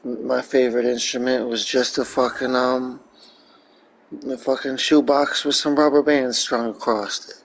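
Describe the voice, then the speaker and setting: A man's voice: mid-range voice, little pitch movement, moderate pace, rough recording. A man chatting casually over a video game, swearing freely and rambling through crude jokes. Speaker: streamer reacting to a video game. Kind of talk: casual profane gameplay chat.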